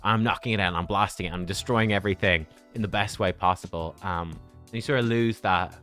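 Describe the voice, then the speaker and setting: A man's voice: low voice, brisk, a bit bright. Man in his thirties streaming, music behind. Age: 30s. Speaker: game livestreamer. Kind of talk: casual livestream chat with viewers.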